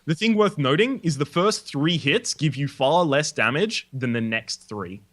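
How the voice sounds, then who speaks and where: A man's voice: fairly high pitch, lively intonation, a little slow, a bit bright, slight background noise. A young Australian man, host of a gaming video, explaining item mechanics in casual chat and swearing now and then. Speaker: let's play host.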